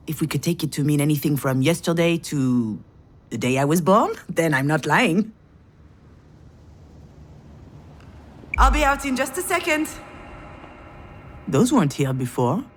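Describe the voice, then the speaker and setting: A woman's voice: low-pitched, a little hiss or hum. An actress playing a frazzled, self-deprecating woman who narrates her inner monologue in a visual novel game, sardonic and confessional. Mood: wry. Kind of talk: visual novel voice acting, first-person narration.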